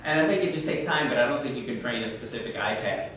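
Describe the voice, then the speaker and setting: A man's voice: medium pitch, expressive, fast, fairly bright tone, a little hiss or hum. A man presenting tech tips at a user group meeting, talking conversationally and answering questions, often repeating words as he goes. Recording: echoey room, mic far off.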